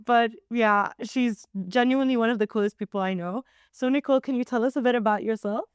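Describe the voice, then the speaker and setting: A woman's voice: a little high, a little fast, clear, resonant tone, no echo, clean studio sound. A woman hosting an interview show, bubbly and giggly, talking casually with lots of fillers. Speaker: podcast host.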